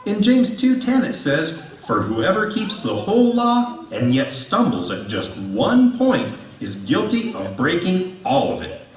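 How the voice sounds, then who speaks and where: An earnest, patient puppet co-host played by a man with a highish voice that swings dramatically up and down. He explains things instructively, as in a scripted puppet show, heard a little away from the microphone. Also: huge pitch swings, unhurried. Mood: earnest teaching. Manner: patient, instructive, exaggerated intonation. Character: earnest male puppet co-host answering Bible questions. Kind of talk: scripted puppet comedy dialogue. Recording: roomy echo, distant from microphone.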